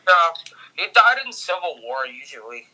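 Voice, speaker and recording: A man with a very bright, forceful voice talks as a guest on a movie review. His delivery is informal and conversational, with a sharp edge to the sound. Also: a little high, sing-song, normal speed, slight background noise, poor audio. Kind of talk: casual chat, film review.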